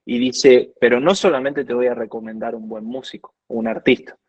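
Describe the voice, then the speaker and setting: A man's voice: mid-range voice, fairly even intonation, moderate pace, no echo. Earnest Argentine man, dull dry sound. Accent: Argentine Spanish. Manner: earnest, quotes scripture at length. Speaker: guest worship minister. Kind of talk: conversational teaching.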